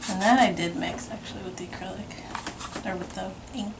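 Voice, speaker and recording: A woman illustrator talking through a painting demonstration in her studio, thinking aloud and murmuring softly as she works. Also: mid-range voice, steady tone, normal speed, noisy background. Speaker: author and illustrator.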